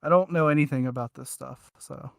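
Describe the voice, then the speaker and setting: A man's voice: fairly high pitch, a little fast, gravelly, no echo, clean studio sound. A man co-hosting a podcast in casual chat, self-deprecating and mildly profane. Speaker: podcast co-host.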